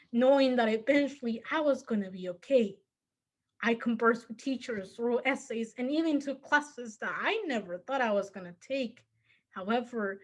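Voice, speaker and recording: A teenage girl, a native Spanish speaker with a heavy Spanish accent, giving a measured, earnest memorized competition speech. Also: on the high side. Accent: Spanish-accented English. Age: teens. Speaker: student speaker.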